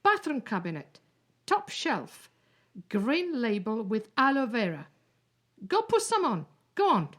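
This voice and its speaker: A woman playing a brisk, bossy carer in a radio play, giving clipped instructions. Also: on the high side, wildly swooping pitch, a bit bright, full character voice. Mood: insistent. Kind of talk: radio drama acting.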